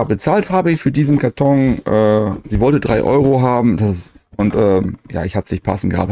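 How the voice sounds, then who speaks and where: A man's voice: mid-range voice, noisy background. A man narrating a retro game collector vlog in a casual, chatty way, with frequent hesitations and "äh" fillers.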